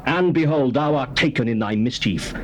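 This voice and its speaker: An actor playing a bitter accuser in a biblical drama, cursing in drawn-out, taunting declamation on an old tape. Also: male voice, medium pitch, expressive, natural voice. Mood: scornful, cursing. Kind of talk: dramatized scripture, theatrical. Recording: faintly noisy old tape.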